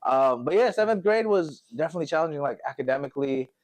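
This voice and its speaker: A man's voice: fairly high pitch, expressive, quick pace. An American man chatting casually and telling stories in a slangy, informal way, often with a chuckle. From Connecticut, United States, later Indonesia. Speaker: podcast host telling his life story. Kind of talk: casual conversational storytelling.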